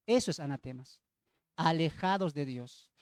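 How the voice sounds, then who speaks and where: High-pitched Bolivian man preaching. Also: normal speed, smooth, no room sound, clean studio sound. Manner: emphatic, repeats phrases, asks rhetorical questions. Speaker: evangelical preacher. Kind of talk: sermon with Bible teaching.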